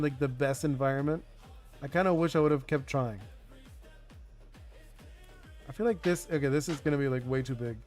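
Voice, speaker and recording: A man's voice: on the high side, a little mellow. A young man whose first language is Spanish, chatting casually on a live stream while he designs a card game, rambling with lots of "like" and restarts. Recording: faint music behind the voice.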